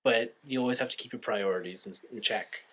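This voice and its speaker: A young man co-hosting a podcast, telling stories in an animated, slangy, casual way. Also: on the low side, brisk. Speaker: podcast co-host. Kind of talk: casual chat.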